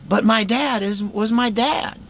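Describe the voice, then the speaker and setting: Gravelly American man telling a rambling story. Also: high-pitched, fairly bright tone. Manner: rambling, candid, folksy. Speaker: vlogger telling a personal story. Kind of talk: casual first-person storytelling.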